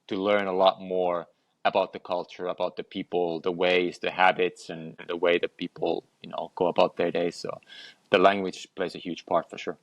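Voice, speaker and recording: A man's voice: deep voice, level tone, normal speed, creaky, no echo, lo-fi sound. A man, a guest on a podcast, speaking reflectively and often repeating words as he gathers his thoughts. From Finland. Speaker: guest, health and lifestyle coach. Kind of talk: conversational podcast interview.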